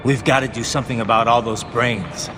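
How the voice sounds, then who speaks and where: An actor playing a grim, determined cyborg soldier hero in a video game, terse and intense. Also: male voice, brisk, lightly acted. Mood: urgent. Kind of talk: video game cutscene acting. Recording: clear background noise, vehicle-like rumble.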